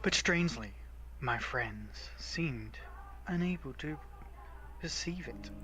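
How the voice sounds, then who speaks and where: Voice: mid-range voice, animated, takes it slowly, pushed and bright, rough recording. A giggly, playful game streamer who switches between casual chat and dramatic read-aloud narration, with game music behind. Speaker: streamer reading a visual novel.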